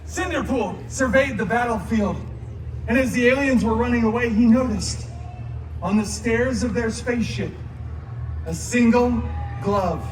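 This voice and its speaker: A man's voice: very high voice, a little slow. A man playing a flamboyant, sarcastic antihero, telling a mock fairy tale live on stage with theatrical, chatty asides. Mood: playful narrating. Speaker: costumed theme park storyteller. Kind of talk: live comedic storytelling. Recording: echoey live show, distant, loud music. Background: loud music.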